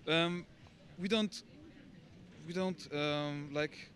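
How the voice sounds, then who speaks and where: A young Austrian man with a German accent, answering a street interview in halting English. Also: fairly high pitch, a bit bright. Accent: German-speaking Austrian. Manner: halting, searching for English words. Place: outdoors on a city street.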